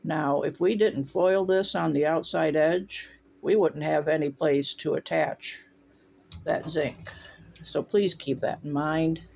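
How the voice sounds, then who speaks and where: Man, gravelly, slightly high, relaxed studio how-to talk. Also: takes it slowly. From Northwest Ohio, USA. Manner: relaxed, talks while working, thinks aloud. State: runny nose, voice briefly faltering. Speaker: stained glass instructor. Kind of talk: casual workshop demonstration. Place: home glass studio with windows open.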